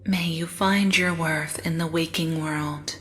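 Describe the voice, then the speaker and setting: A woman plays a serene porcelain doll, a mysterious gothic guide. Her voice sits slightly low and moves very slowly, every phrase grave, deliberate and formal. Music runs behind her throughout. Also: steady tone. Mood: grave, guiding. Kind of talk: gothic audio drama acting.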